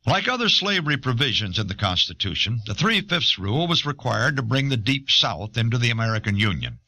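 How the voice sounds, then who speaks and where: Bright, forceful man narrating with lively intonation. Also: mid-range voice, fairly quick, no echo. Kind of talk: scripted documentary narration. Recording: old audio cassette.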